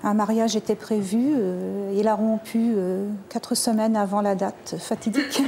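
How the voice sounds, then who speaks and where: A woman, a guest on a television talk show, speaking softly and with some hesitation. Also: medium pitch, steady tone, moderate pace, vocal fry, slight background noise. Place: television studio.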